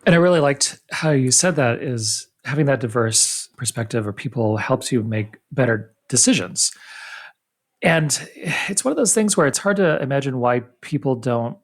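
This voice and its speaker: Guy hosting a podcast interview, lively, pitch jumps all over, mid voice. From United States. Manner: animated, pitch jumps up to stress points, quick asides. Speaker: podcast host. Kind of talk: casual interview.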